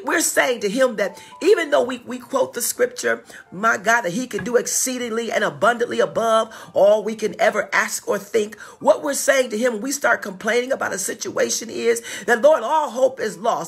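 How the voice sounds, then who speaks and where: A woman preaching a sermon with fervor, drawing out scripture quotes slowly and often breaking in with "my God" and "glory to God.". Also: fairly high pitch, creaky, husky.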